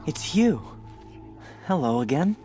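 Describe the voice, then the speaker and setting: An actor playing a humble, anxious brother in a video game, hesitant and earnest. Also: male voice, a little high, very animated, takes it slowly, warm tone, soft background music. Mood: stunned, hopeful. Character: humble, grateful, anxious brother of sick girl. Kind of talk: cinematic video game acting.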